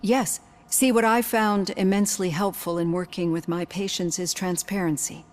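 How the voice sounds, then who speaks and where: A woman playing a composed, probing psychologist in a video game, speaking in a measured, articulate, clinical way. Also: mid-range voice, steady tone, natural voice. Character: composed, probing female psychologist with prosthetic hand.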